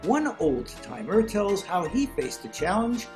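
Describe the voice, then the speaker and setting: A man's voice: sits high, sing-song, a little slow, a little mellow, faint music behind. A man serving as master of ceremonies, giving genial, announcer-like scripted introductions over a video call.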